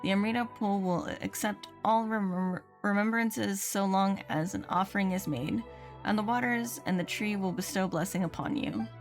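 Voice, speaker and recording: A woman's voice: mid-range voice, moderate pace. Woman livestreaming a game over music. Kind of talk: casual game stream chat, reading in-game dialogue aloud. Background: video game music.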